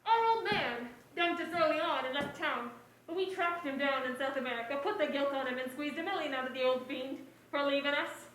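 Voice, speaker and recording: Woman acting high-voiced scheming noir femme fatale, echoey. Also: brisk, fairly bright tone. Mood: brazen, gloating. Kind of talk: 1940s noir stage acting. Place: indoor stage, distant microphone. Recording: echoey stage recorded from far, faint noise.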